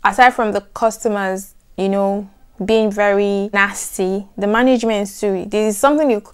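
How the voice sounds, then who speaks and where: A young adult woman with a soft, soothing voice, chatting to camera in an animated, emphatic way. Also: medium pitch, normal speed, smooth. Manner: animated, emphatic, repeats "trust me". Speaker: vlogger. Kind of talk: casual chat to camera.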